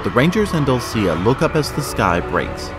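A man's voice: mid-range voice, sing-song, normal speed. A man giving scripted narration for an action audio drama. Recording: loud music over the voice.

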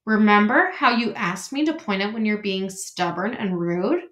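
No-nonsense beaver wife, read by a woman, brisk and practical, roomy echo. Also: mid-range voice, a bit bright, lightly acted.

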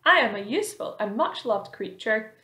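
A woman's voice: sing-song, moderate pace, bright, forceful tone. A woman voicing a prim, touchy, self-important male earthworm in a children's story. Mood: prim, proud. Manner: prim, indignant, higher than narration. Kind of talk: children's storybook read aloud. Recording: roomy, echoing indoor sound.

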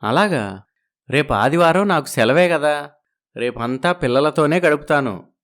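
A man's voice: on the high side, expressive, measured pace, fairly bright tone. An evasive young husband caught between two families, as a man acts him out. He soothes and makes excuses in a slightly raised voice.

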